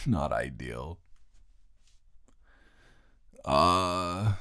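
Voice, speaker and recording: A man playing a grumpy, tired young male roommate who is secretly smitten, in a halting, exasperated, intimate improvised audio roleplay. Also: fairly bright tone, dry recording. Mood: awkward, confessing. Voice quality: very deep, gravelly, close and dry.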